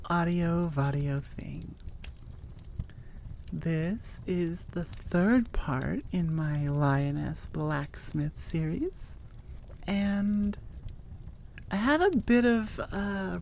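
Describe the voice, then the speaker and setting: A woman's voice: lowish voice, a little mellow, slight background noise. A woman audio creator chatting casually in an outro, rambling along with coy understatement.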